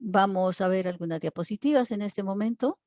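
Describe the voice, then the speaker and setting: A woman working as a simultaneous interpreter for an online seminar. She keeps a steady delivery, broken by frequent hesitation fillers. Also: medium pitch, brisk, no room sound. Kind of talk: live interpretation for a webinar.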